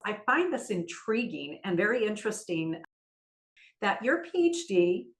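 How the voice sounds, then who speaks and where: A woman's voice: medium pitch, very animated, a little slow. A woman hosting a podcast reads out a formal introduction of her guest. She then moves on to asking direct interview questions.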